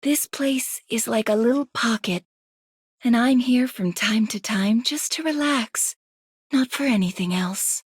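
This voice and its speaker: A woman voicing a composed, reserved young operative in a video game, speaking in a measured way. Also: a little high, slightly rough, dry recording. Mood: calm, guarded. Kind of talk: video game character voice lines.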